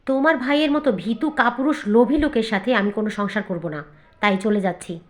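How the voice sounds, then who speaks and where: Woman acting a defiant young wife, highish voice. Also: brisk, close to an everyday voice. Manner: accusing, firm, outspoken. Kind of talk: cartoon voice acting.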